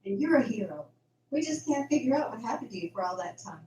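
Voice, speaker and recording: A woman's voice: on the high side, sing-song, fast, warm tone, highs cut off. A woman playing an affectionate, encouraging young farm woman in an amateur radio drama. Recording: echoey room, faint background noise.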